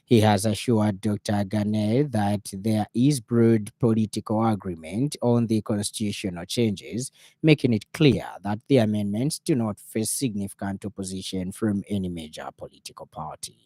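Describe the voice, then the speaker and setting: A man with a Zambian accent reading a radio news bulletin in a formal, measured way. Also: on the low side, mellow, no echo.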